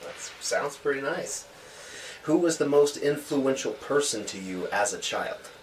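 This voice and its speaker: A man's voice: mid-range voice, expressive, a little slow. A podcast host, a man, asking friendly open questions and giving short encouraging replies. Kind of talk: casual interview. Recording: distant mic with faint noise.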